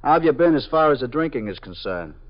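An actor playing a level-headed police detective who questions a suspect calmly and firmly in a 1950s radio drama. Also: male voice, mid-range voice, fast talker, natural voice. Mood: stern. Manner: calm, firm questioning. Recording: dull lo-fi old radio broadcast.

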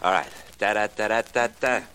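A man's voice: lowish voice, normal speed, heavy background noise, natural voice. A man playing an irritable, self-centered vaudeville dancer in an old-time radio crime drama, with impatient, slangy grumbling.

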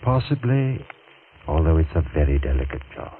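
A deep-voiced menacing surgeon. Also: male voice, big pitch swings. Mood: persuasive. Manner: menacing, measured and insinuating. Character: scheming male surgeon, vindictive and smooth. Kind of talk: 1940s radio acting. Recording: tinny old radio broadcast with faint hiss.

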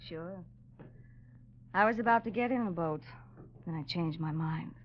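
An actress playing a haunted, fatalistic woman who speaks with quiet, knowing resignation in a mid-century radio drama. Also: lowish voice, a little slow, lightly acted. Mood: resigned, quiet. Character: fatalistic, haunted woman calmly awaiting death at sea. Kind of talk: 1950s radio drama acting. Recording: dull, noisy old radio recording.

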